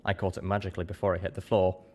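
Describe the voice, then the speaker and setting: Man, deep pitch, scripted story narration, audiobook reader, faint background noise. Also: little pitch movement, rapid-fire.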